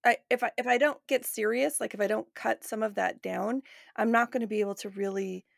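A woman's voice: fairly high pitch, steady tone, brisk, vocal fry, dry recording, studio quality. A woman hosting a podcast in a casual, chatty interview style. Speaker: podcast host.

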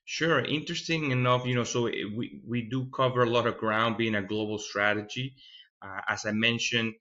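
A man's voice: medium pitch, steady tone, moderate pace, pushed and bright, highs cut off. A man in his thirties from Venezuela, a fund manager answering interview questions thoughtfully and often repeating a word as he finds the next one. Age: 30s. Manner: thoughtful, repeats words while finding them. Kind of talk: podcast interview.

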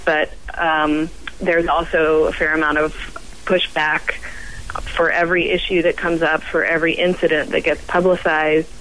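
A woman scientist guest on a radio roundtable, croaky from a cold, speaking haltingly with many pauses and restarts. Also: deep voice, little pitch movement, a bit bright, slight background noise, rough recording.

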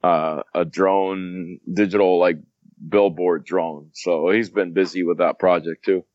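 A man's voice: low-pitched, fairly even intonation, a little slow, a little mellow, no room sound, dull audio. A man who grew up in South America, a podcast guest chatting casually and laughing often, rambling with restarts. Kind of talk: casual chat.

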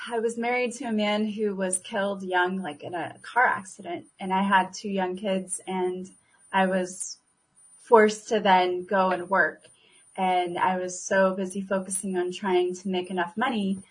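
A woman guest in relaxed conversation, telling her own story in a chatty, unhurried way. Her voice has a slightly husky edge. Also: medium pitch, rough recording. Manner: chatty, laughs often, fillers. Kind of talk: casual conversation.

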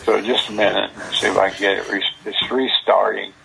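A man's voice: fairly low pitch, level tone, fairly quick, vocal fry, a bit bright. An older man muttering in exasperation at a computer, frustrated, irritable and swearing as he grumbles. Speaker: host's father. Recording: noisy home recording, background sounds.

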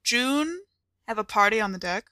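A woman's voice: a little high, animated, moderate pace, bright, forceful tone, dry recording. A woman voicing a genteel, playful, theatrical lady in an improvised tabletop game, with a proper, wry, musing manner.

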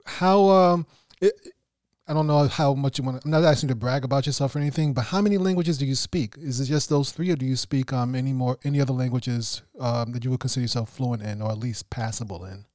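A man's voice: medium pitch, quick pace, a little mellow, dry recording, clean studio sound. An American man hosting an interview, asking questions in a friendly, rambling way. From United States. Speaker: podcast host. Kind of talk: conversational interview.

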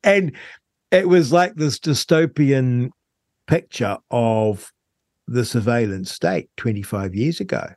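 A middle-aged or older man with a New Zealand accent, hosting a conversational radio interview in a halting, reflective way. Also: medium pitch, a little mellow, dry recording, studio quality. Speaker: talk show host.

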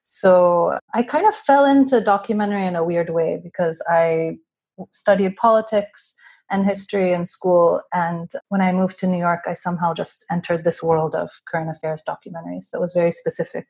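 Woman, 30s, from California, filmmaker guest, remote call audio, breathy, chatty. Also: fairly low pitch, normal speed. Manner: relaxed, chatty, with light laughs. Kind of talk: casual interview answers.

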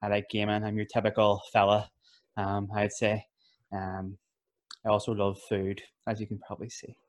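A young man with a Belfast accent, telling about his life in a relaxed, laughing way. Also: fairly low pitch, normal speed. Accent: Northern Irish, Belfast. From West Belfast, Northern Ireland. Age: young adult. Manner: laid-back, laughs easily, fillers. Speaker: youth worker and rapper. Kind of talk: casual interview.

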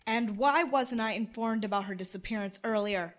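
A woman's voice: mid-range voice, moderate pace, natural voice. A woman playing a defiant, blunt young blind college student in an audio drama, sarcastic and demanding. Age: young adult.

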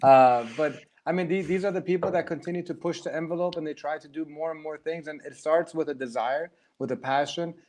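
A man's voice: a little high, a little fast, few pauses, a little mellow, a little hiss or hum. A man hosting a casual interview, encouraging his guest with short affirmations.

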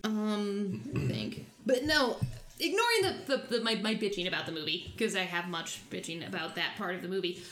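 A woman's voice: mid-range voice, animated, normal speed, a bit bright. A woman co-hosting a casual chat about films and comics, blunt and opinionated, swearing freely. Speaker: podcast co-host. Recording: roomy echo.

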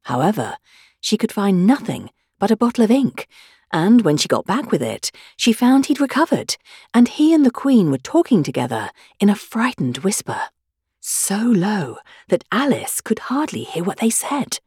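A woman reading a children's story aloud as an expressive, dramatic narrator. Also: medium pitch, normal speed, slightly rough, no echo, studio quality. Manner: animated, dramatic. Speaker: audiobook narrator.

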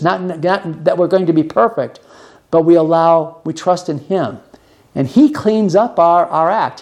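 A man with a somewhat high voice delivers a sermon in a conversational way. He explains each point earnestly, often repeating a phrase to drive it home. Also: creaky, dark tone. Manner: earnest, explanatory, uses repetition for emphasis. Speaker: preacher and podcast host.

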